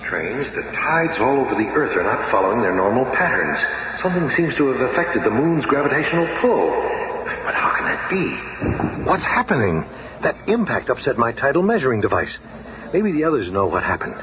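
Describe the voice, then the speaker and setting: An actor playing an earnest, analytical scientist superhero in a radio drama, precise and melodramatic. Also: male voice, medium pitch, sing-song, normal speed, natural voice. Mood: puzzled, alarmed. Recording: dull, faintly noisy old radio recording.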